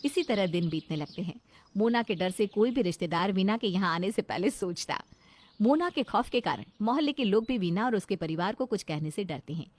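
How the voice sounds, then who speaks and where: A woman's voice: medium pitch, expressive, fairly quick, a little mellow, slight background noise. A woman storyteller reading a scripted narration of a family moral tale.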